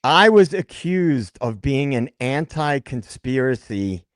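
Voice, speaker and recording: Brooklyn-born guy on a livestream, mid-pitched, dry close mic, tells stories, repeats himself when he gets going. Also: slow pace, no room sound. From Brooklyn, New York, United States. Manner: animated storyteller who repeats words when he gets going. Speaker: co-host of a true crime livestream. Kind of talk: casual livestream chat.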